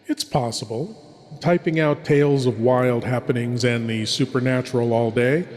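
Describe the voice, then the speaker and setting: A man hosting a horror radio show with theatrical scripted narration, mock-solemn, with dramatic deliberate pauses. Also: mid-range voice. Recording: long cavernous reverb on voice.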